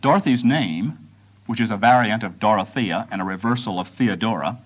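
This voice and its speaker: A man delivering a prepared lecture in measured, deliberate phrases, pausing for emphasis. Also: fairly low pitch.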